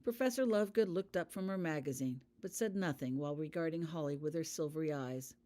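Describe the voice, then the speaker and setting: A woman's voice: sits low, a little fast, warm tone. A woman reading scripted fiction aloud as an audiobook narrator. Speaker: author reading their own fan fiction.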